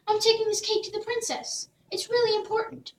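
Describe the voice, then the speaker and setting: A woman's voice: very high voice, little pitch movement, fairly quick, a little hiss or hum, voice pushed up. A woman doing an eager young boy. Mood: anxious. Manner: eager, earnest. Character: earnest young boy on a quest. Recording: roomy, distant mic.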